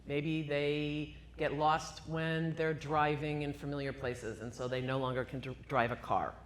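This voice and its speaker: A woman neurologist speaking live to an audience in a room, with a loud, emphatic voice and rhetorical questions. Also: low voice, flat delivery, a little slow, slight background noise. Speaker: cognitive neurologist guest. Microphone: handheld microphone.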